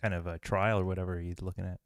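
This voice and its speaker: A man's voice: sits low, flat delivery, racing, no echo, clean studio sound. A man co-hosting a podcast in casual chat, rambling with lots of fillers and restarts. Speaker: podcast co-host.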